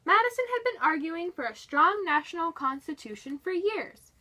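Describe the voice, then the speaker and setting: A woman's voice: very high voice, very animated, normal speed, sharp tone. A woman reading a history book aloud in a dramatic, scripted narration style.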